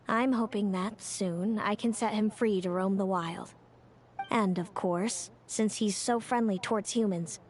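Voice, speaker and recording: An actress playing a composed female rival trainer in a video game, speaking in a calm, measured way. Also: fairly high pitch, lightly acted. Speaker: defeated rival trainer, runner-up. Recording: game audio with music underneath.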